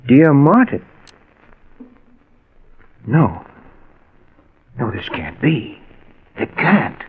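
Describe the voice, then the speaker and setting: An actor playing a distressed man who fears for his sanity, speaking haltingly in 1940s radio style. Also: mid-range voice, big pitch swings, narrow, tinny audio, full character voice. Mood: bewildered, troubled. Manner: halting, bewildered. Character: distressed man Martin, fearing his mind is failing. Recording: old radio drama recording, slightly husky sound.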